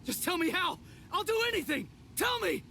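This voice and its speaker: A man's voice: extremely high pitch, sing-song, moderate pace, vocal fry, sharp tone, slight background noise, full character voice. A man voicing a hot-headed, defiant teenage boy in an anime game dub, blunt, intense and emotional. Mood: defiant, desperate. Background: background music.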